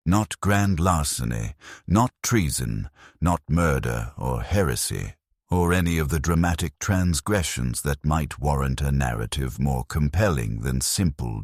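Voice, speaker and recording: A man narrating a dramatic story as a grim, embittered convict soldier, solemn and deliberate in his bleak delivery. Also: rumbling low, dry recording, clean studio sound, heavily acted. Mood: bleak, resigned.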